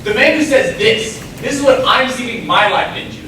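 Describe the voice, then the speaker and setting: A man's voice: very high voice, moderate pace, bright, forceful tone, recorded from a distance. A man acting in a stage play as a pushy, ambitious small-town schemer, folksy and insistent. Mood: scornful, insistent. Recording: echoey stage recorded from afar, noisy.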